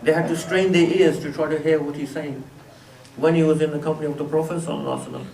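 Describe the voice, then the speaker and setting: A man's voice: fairly high pitch, steady tone, very fast, vocal fry, a little mellow. A man giving a religious lecture in a mosque, speaking conversationally with frequent "you know" fillers. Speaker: Islamic teacher. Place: mosque prayer hall. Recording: echoey mosque hall, distant mic.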